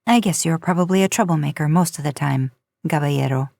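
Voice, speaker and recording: A woman voicing a friendly, knowing mother of about forty, familiar and gently teasing, in a story reading. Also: low-pitched, quick pace, creaky, no room sound, voice pushed down.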